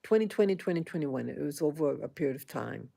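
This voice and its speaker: Gravelly-voiced woman in her sixties. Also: low voice, lively intonation, dark tone. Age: 60s. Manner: halting, many restarts and fillers; aggrieved, indignant. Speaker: vlogger. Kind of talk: personal monologue vlog.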